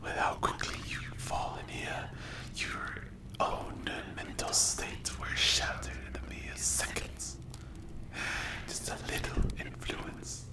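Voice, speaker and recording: A performer voicing a mocking, cruelly amused demon in a breathy whispered ASMR dark fantasy roleplay. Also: close to an everyday voice, low-quality recording. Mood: condescending, amused. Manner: slow, taunting, hushed storytelling. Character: mocking sleep paralysis demon, ancient, cruelly amused watcher.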